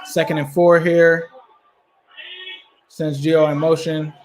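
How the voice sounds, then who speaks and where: A man's voice: fairly high pitch, level tone, slow, a little mellow, a little hiss or hum. A man hosting a fan live stream, giving casual play-by-play commentary and talking conversationally to his viewers. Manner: conversational, addresses viewers and chat.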